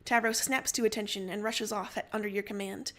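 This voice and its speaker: A woman reads fiction aloud as a storyteller, at a middle pitch. She narrates in the second person with dramatic intent, as on a story livestream. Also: steady tone, brisk, fairly bright tone.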